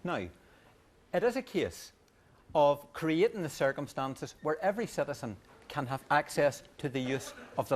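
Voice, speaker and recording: A man making a measured case as a panel guest in a political debate. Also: mid-range voice. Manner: measured, insistent on finishing.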